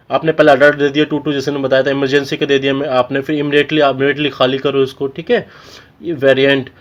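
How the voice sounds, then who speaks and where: A man's voice: fairly high pitch, muffled recording. A man with an Indian accent giving an informal technical tutorial, explaining step by step and often checking in with short tags. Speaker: technical instructor.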